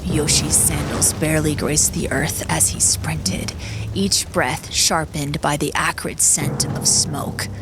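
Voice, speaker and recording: A woman narrating a dramatic audiobook story in a measured, solemn way. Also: deep voice, fairly even intonation. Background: music.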